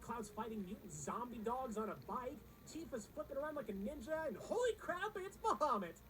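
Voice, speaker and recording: A woman's voice: fairly high pitch, sing-song, a little fast, noisy background. A woman narrating a scripted pop-culture countdown in an emphatic, slangy, wry manner. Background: music under the voice-over.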